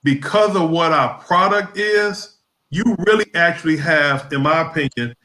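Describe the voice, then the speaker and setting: Man on a zoom call talking slow and steady, brightish voice, kinda echoey. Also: fairly high pitch. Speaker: guest on training call. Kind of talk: casual explanation on video call. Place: home room on video call. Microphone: webcam or laptop mic on video call. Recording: echoey room.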